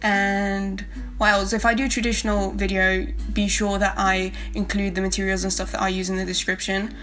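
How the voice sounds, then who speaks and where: Young woman, flat voice, Spanish accent. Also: mid-range voice, moderate pace. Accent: South American Spanish. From South America. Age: young adult. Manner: rambling, friendly, many fillers. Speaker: art YouTuber. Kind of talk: casual voice-over while drawing. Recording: faint backing guitar music.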